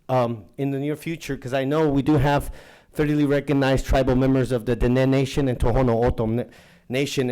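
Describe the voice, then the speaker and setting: A man whose first language is Nahuatl gives his public comment in a mellow, dark-toned voice. He rambles from point to point, halting often on fillers, at an ordinary pitch for a man. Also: low-quality recording. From Anaheim, California. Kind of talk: public comment at a council meeting.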